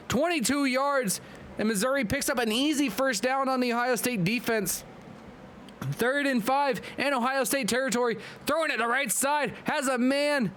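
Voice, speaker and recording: Hyped high-voiced man calling the game. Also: a little hiss or hum. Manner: excitable, hyped play-by-play with quick asides to chat. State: losing his voice, nose clogged. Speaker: league commentator and stream host. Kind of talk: live-streamed play-by-play commentary.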